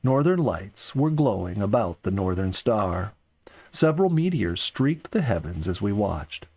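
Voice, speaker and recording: A man hosting and reading a family audiobook as narrator, in a measured, dramatic storytelling delivery. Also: fairly low pitch, big pitch swings, darkish tone, no echo. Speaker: storyteller and host.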